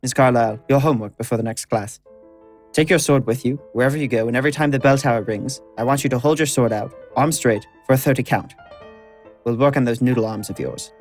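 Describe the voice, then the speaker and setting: An actor playing a seasoned sword instructor in an audio drama, dry, blunt and patient, with a measured teaching manner. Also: male voice, medium pitch, faint music behind, natural voice. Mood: firm, instructive.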